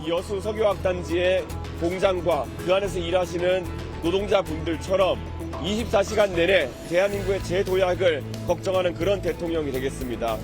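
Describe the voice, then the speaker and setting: Male candidate, high register, campaign speech delivery with music underneath. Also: fairly quick. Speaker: presidential candidate. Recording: music laid over speech clip.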